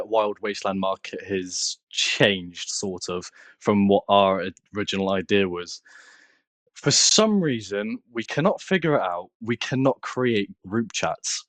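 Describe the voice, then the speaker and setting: A young British man with a fairly low voice, recorded dry and close. He answers casually, as a guest in a podcast interview. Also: animated, slow pace, no room sound. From United Kingdom. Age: young adult. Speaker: podcast guest, online content creator.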